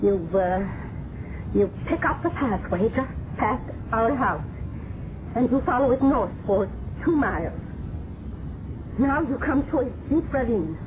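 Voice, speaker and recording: An actress playing a hesitant informant in a 1970s radio drama, speaking in careful, halting phrases. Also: female voice, fairly high pitch, expressive. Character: hesitant female informant giving away a hideout. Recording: muffled, noisy 1970s radio drama recording.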